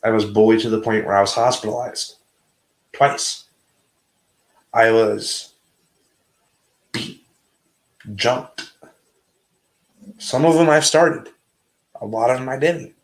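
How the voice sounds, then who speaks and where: A man's voice: mid-range voice, slow pace, long pauses, echoey room. A man hosting a casual, heated livestream chat, earnest and often stumbling over and repeating his words.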